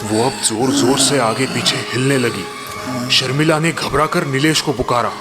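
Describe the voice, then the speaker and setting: A man's voice: mid-range voice, a little slow. Man narrating dramatic stories over music. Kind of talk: dramatic scripted story narration.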